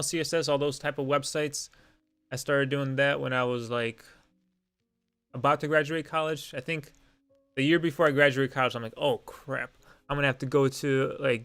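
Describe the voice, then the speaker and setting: A man's voice: medium pitch, fairly bright tone, clean tone. A young man whose first language is Lithuanian, hosting a casual live-stream Q and A, rambling and self-deprecating with many fillers.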